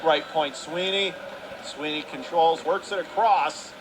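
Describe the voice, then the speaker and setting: Guy doing hockey radio play by play, pretty high voice, excitable, some rink noise behind him. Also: measured pace. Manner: excitable, clipped phrasing. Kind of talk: live ice hockey play-by-play commentary. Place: commentary position at an indoor ice rink.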